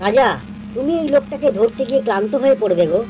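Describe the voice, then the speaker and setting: Woman as a quick-talking clever cartoon goat. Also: fairly high pitch, lightly acted. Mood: coaxing, sly. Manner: coaxing, pleading. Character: clever, quick-witted friendly male goat. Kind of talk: children's animated folk tale acting.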